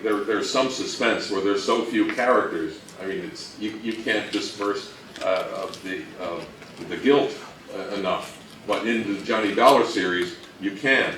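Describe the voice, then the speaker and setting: A man giving an informal, explanatory talk on radio history as a presenter, speaking somewhat haltingly. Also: on the low side, a bit bright. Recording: roomy, distant mic, faint noise.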